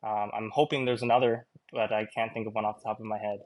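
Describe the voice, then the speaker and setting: A man's voice: lowish voice, flat delivery, fast, a little mellow. A man from Hawaii, a marine mammal researcher, speaking earnestly and reflectively as a podcast guest, with frequent fillers. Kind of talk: casual podcast interview.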